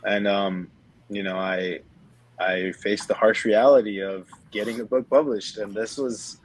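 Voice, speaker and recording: A man's voice: fairly low pitch, fairly even intonation, measured pace, poor audio. A man in his twenties telling a personal story casually as a podcast co-host, peppering it with filler phrases. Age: 20s.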